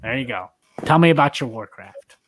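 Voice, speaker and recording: A man's voice: medium pitch, animated, moderate pace. Casual man hosting a podcast game. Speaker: host and judge of a movie pitch game.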